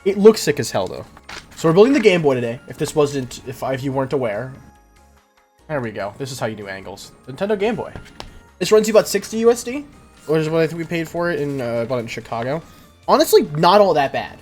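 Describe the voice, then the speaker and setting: Young male streamer, lively swinging delivery, casual slang and self-deprecation, light music underneath. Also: on the high side, fast talker. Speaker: livestreamer, host. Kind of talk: casual livestream chat while building.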